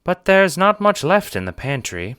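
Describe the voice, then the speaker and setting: A man voicing a doting, jovial but easily flustered elderly grandmother in an audio drama, with a gentle, fussy manner. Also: high voice, sing-song, normal speed, clear, resonant tone, no echo, studio quality, pitched above the performer's own voice. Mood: gently apologetic.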